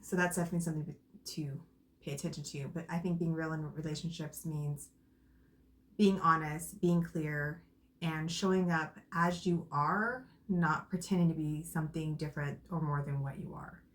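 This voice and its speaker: A woman in casual conversation with her partner, thoughtful and soft-spoken. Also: sits low, fairly even intonation, moderate pace. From Arizona, USA. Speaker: co-host.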